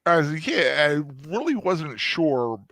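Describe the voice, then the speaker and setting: A man co-hosting a casual review discussion, talking in a hesitant, halting way with many restarts. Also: fairly high pitch, sing-song, a bit bright, no room sound. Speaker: co-host.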